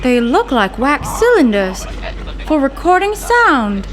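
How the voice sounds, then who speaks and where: A woman's voice: high-pitched, big pitch swings, takes it slowly, a little hiss or hum, exaggerated voice. An actress playing a blunt, sarcastic young woman investigating her scientist father's lab, in old-time radio drama style. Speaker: scientist's daughter. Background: music.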